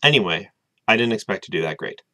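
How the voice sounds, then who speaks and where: Young male vlogger, twenties, hesitant and full of ums, very low voice, dry close sound. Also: expressive, fast, pushed and bright, no echo. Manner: rambling, hesitant, many ums. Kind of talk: casual video diary. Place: small room with bookshelves, indoors.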